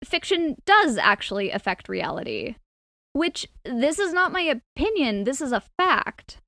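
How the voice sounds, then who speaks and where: A woman's voice: sits high, sing-song, a little slow, gravelly, pushed and bright, dry recording. A woman delivering scripted opinion commentary in a video essay.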